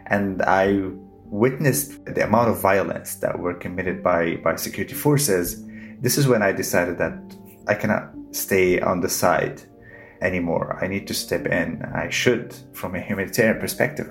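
A man in his thirties from Syria, a physician, tells his story in an interview, earnest and deliberate. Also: low-pitched, vocal fry, soft background music. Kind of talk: interview recollection.

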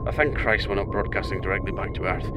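An actor playing a stern, sardonic astronaut captain in a sci-fi audio drama, with a dry, commanding manner. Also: male voice, low-pitched, fast talker, very noisy, close to an everyday voice. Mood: dry, exasperated. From Britain. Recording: dramatic music behind the voice. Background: music.

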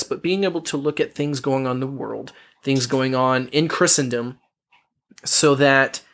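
A man with a slightly husky voice reads Scripture aloud on a podcast. His delivery is earnest and measured, and he stumbles and restarts as he explains. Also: medium pitch. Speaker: podcast co-host. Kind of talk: reading and explaining Bible verses.

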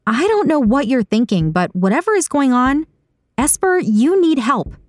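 A woman's voice: a little high, sing-song, unhurried, no echo, voice pushed up. A woman voicing an indignant, uneasy woman in an audiobook, with an incredulous, emphatic and challenging delivery. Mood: alarmed. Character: Saga, indignant hard-drinking woman, uneasy and accusing. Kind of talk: audiobook character dialogue.

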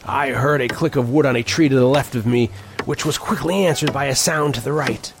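A man's voice: medium pitch, a little fast, creaky, background noise, close to an everyday voice. An actor playing a haunted Old West man, solemnly recounting a horror tale in an audio drama. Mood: grim, measured. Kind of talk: dramatic first-person audio drama narration. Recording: dramatic music under the voice.

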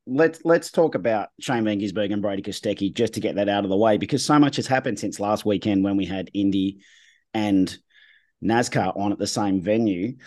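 A man with a hoarse, husky voice hosting a motorsport podcast in a relaxed, conversational way. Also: on the low side, dry recording, clean studio sound. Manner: conversational, measured. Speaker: podcast host. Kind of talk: casual motorsport chat.

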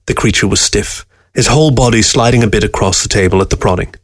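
A man narrating a scripted short story in a measured, literary delivery. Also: on the low side, dry recording, clean studio sound. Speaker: story reader.

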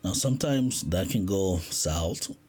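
Deep, slow man. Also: expressive, a little mellow, slightly rough. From West Africa. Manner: reflective, unhurried, with frequent pauses and restarts. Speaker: podcast host. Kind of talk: casual podcast monologue.

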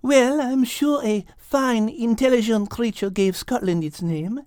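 A man puts on a dainty French she-cat for a children's audio story. She speaks in a high feminine register with polished manners and a sweetly teasing confidence. The sound is close and dry. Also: a little slow, darkish tone, clean tone, dry recording. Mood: gently challenging. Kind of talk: audiobook character acting and show hosting.

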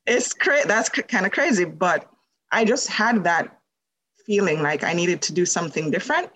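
A woman's voice: fairly low pitch, expressive, moderate pace, fairly bright tone. A woman from Jamaica, a holistic nutrition practitioner, telling her story as a podcast guest in a conversational way, with many fillers. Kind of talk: casual interview storytelling.

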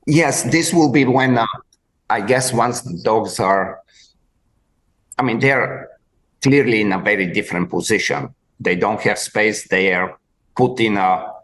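A man with a Bulgarian accent hosting a podcast interview, talking haltingly with many restarts as he searches for words. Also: fairly low pitch, a bit bright, poor audio. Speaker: podcast host, dog trainer. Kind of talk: conversational interview.

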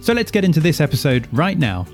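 An upbeat man delivering a scripted podcast opening, his voice pitched a little high and moving lively up and down. Soft music plays underneath him. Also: fast talker. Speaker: podcast intro announcer.

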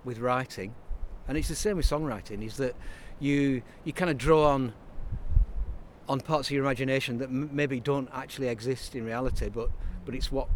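A man's voice: medium pitch, normal speed, slight background noise. A man, talking as an interview guest in a rambling, reflective way with many restarts. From Burnley, Lancashire, England. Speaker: interview guest, writer and songwriter. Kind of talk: casual interview conversation.

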